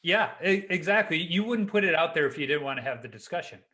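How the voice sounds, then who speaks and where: Male podcast host, bright slightly high voice, relaxed and supportive. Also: fast. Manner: easygoing, supportive listener. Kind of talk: casual podcast chat.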